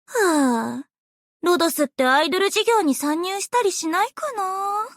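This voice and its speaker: A woman playing an earnest, bubbly, easily flustered young female idol in an anime game, with a cute, expressive, girlish delivery. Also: very high-pitched, a little slow, no room sound, studio quality, exaggerated voice. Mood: hopeful, chatty. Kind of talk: anime game character voice lines.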